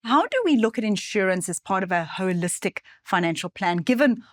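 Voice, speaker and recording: A woman's voice: medium pitch, expressive, fairly quick, no room sound, clean studio sound. A woman from South Africa hosting a money podcast, chatting and thinking aloud as she puts questions to her guest. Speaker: podcast host. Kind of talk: conversational interview.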